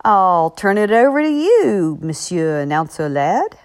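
A woman's voice: medium pitch, sing-song, unhurried. A woman speaking as an author answering listener letters, in a chatty, encouraging manner. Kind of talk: friendly listener question segment.

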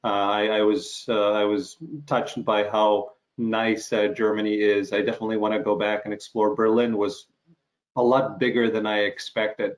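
A middle-aged man from Ukraine hosting a casual interview over a video call, talking haltingly with many fillers and restarts. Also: fairly low pitch, level tone, poor audio. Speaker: interview host.

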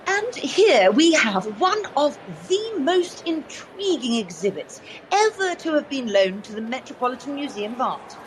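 Audio drama tour guide, female actor: presentational patter, high voice, sing-song lively range. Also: unhurried, poor audio. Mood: polished, showy. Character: polished museum tour guide, easily flustered. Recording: faint background ambience noise.

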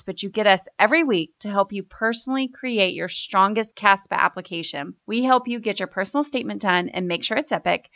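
A woman's voice: mid-range voice, a bit bright, no room sound. A woman co-hosting a podcast, reading an upbeat scripted intro and promotion. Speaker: podcast co-host. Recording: dry, dull lo-fi sound.

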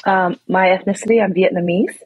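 A woman's voice: mid-range voice, fairly even intonation, fairly quick, slightly rough. A woman from the United States, a nonprofit founder telling her story earnestly in an interview. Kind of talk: interview conversation. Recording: dull lo-fi audio.

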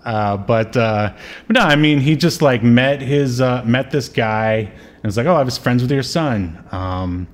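A man's voice: mid-range voice, unhurried. A man co-hosting a podcast, chatting casually about a TV show and often searching for his words. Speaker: podcast co-host. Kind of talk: casual chat, reviewing a TV show.

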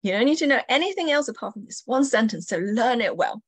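A woman's voice: a little high, expressive, fast talker, husky, no echo. Dry-miked woman telling her story. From China. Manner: warm reflective storytelling, light laughs. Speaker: entrepreneur guest. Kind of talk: interview storytelling.

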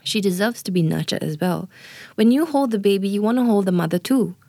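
A woman's voice: medium pitch, lively intonation, fairly quick, warm tone, no echo, clean studio sound. A woman working as a doula, explaining birth in an earnest interview manner and often checking in with "right?" and "you know". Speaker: doula, guest.

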